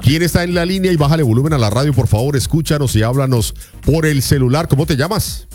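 A man's voice: on the high side, fast talker, darkish tone, soft background music. A man hosting a live morning radio call-in show, chatting and reading out announcements with a sing-song announcer delivery.

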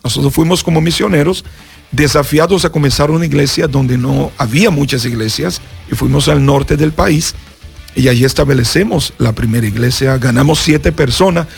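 A man's voice: medium pitch, normal speed, vocal fry, husky, soft background music. A Brazilian man, a pastor and native Portuguese speaker, giving an earnest personal testimony in Spanish as a guest on a radio interview. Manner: earnest storyteller, acts out voices in his story.

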